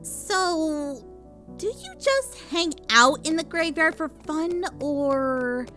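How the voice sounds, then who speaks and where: A woman's voice: high-pitched, expressive, very slow, sharp tone, faint music behind, voice pushed up, exaggerated voice. A woman voicing a curious, slightly nervous young filly in a storybook reading.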